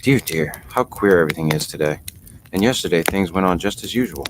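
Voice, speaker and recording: A curious, easily puzzled little storybook girl muses aloud to herself, played by a man in his own low register. She fusses and scolds herself, with clear noise behind the voice. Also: lively intonation, brisk. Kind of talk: storybook character reading. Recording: noisy home podcast recording.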